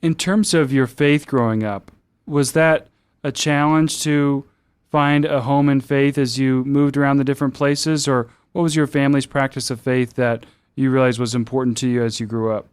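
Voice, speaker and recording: Podcast host guy, smooth voice, dry close mic, asks thoughtful questions. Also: medium pitch, fairly even intonation, moderate pace, no room sound. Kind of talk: interview questions.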